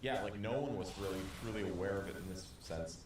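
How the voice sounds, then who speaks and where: Male co-host, lower voice, halting delivery, echoey room. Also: far from the mic. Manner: halting, many restarts and "you know". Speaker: video creator and podcast co-host. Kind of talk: casual podcast chat. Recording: echoey room with faint noise.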